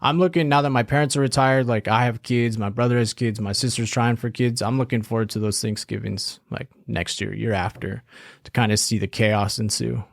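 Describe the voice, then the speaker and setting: A young man from Southern California co-hosting a podcast, relaxed and reflective in casual chat, often saying "like". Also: medium pitch, fairly quick, gravelly, dry recording, studio quality. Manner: easygoing, reflective, says "like" often. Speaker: podcast co-host.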